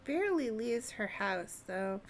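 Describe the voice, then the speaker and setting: A woman's voice: a little high, big pitch swings, unhurried, a little hiss or hum. A woman voicing a plainspoken village gossip from a fantasy game, folksy and matter-of-fact.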